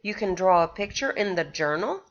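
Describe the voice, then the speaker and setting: A woman, a pre-K teacher, reading a picture book aloud to young children and pausing to ask them questions. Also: on the low side, expressive, dull audio. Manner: slow, sing-song storytelling with questions to the children.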